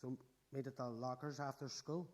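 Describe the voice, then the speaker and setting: A woman's voice: rumbling low, steady tone, moderate pace, a little mellow. A woman acting in a radio play as an easygoing teenage schoolboy who banters and hesitates as he pesters a classmate. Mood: bantering.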